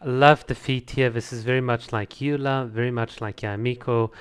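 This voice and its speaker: A man's voice: mid-range voice, fairly even intonation, normal speed, over music. A man hosting a video review, talking casually and conversationally with opinions and enthusiasm. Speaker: host of a video channel reviewing game trailers. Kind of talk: casual reaction commentary.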